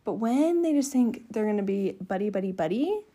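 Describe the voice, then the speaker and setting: A woman's voice: mid-range voice, expressive, brisk, mellow. A young woman co-hosting a podcast, chatting casually and telling stories in an upbeat way. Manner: chatty, upbeat, storytelling with lots of "like". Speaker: podcast co-host. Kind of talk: casual chat.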